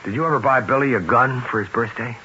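An actor playing an uneasy, questioning police detective in a 1970s radio drama. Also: male voice, mid-range voice, fast talker, bright, forceful tone, natural voice. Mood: grave. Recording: muffled, noisy 1970s radio recording.

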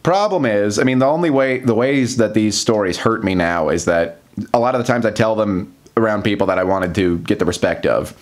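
A man's voice: lowish voice, a little fast. An American man in his thirties talking casually to the camera in a rambling, self-deprecating, confessional monologue. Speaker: vlogger. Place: small plain room indoors.

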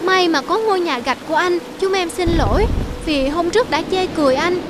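A woman's voice: very high voice, fairly quick, noisy background. A woman voicing a timid, childlike young rabbit in a cartoon, exclamatory and eager. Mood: apologetic. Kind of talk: cartoon dubbing.

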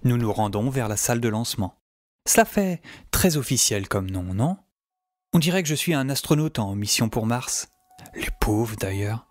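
A man's voice: medium pitch, animated, moderate pace, no echo, natural voice. A man playing a casual, wry young hero who narrates his adventure in an audio drama. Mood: reflective.

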